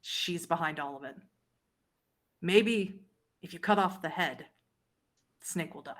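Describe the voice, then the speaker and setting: A woman voicing a weary, wary old lady vampire informant, speaking in measured statements with dramatic pauses. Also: lowish voice, natural voice. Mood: guarded, ominous. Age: older.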